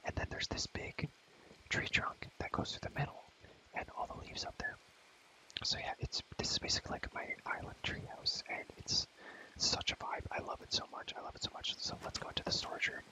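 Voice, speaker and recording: A young man whispering a casual, rambling ASMR gaming commentary, often repeating phrases and using relaxed slang. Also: brisk, rough recording. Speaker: ASMR video creator.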